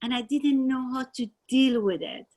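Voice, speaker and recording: A woman with a Persian accent who shares a personal story in a halting, earnest way, with many restarts, as a class participant. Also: high-pitched, a little mellow. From Iran. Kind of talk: personal testimony.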